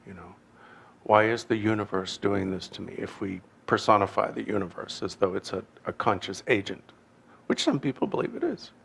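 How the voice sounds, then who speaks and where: A Canadian man with a low, gravelly voice gives an unhurried Buddhist teaching. He wanders off on asides, restarts his sentences and pokes fun at himself. His low pitch carries a steady rasp of vocal fry. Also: a little hiss or hum. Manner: halting, digressive, wry self-deprecating humor. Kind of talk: informal dharma teaching.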